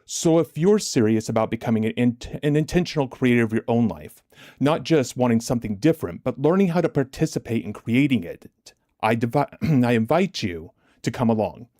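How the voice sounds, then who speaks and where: A man hosting a livestream as a motivational trading coach, deliberate and emphatic, his chest a little congested from a recent illness. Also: mid-range voice, animated, warm tone, no room sound, clean studio sound. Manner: deliberate, emphatic, repetitive rhetorical questions. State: chesty, getting over bronchitis, clearing throat.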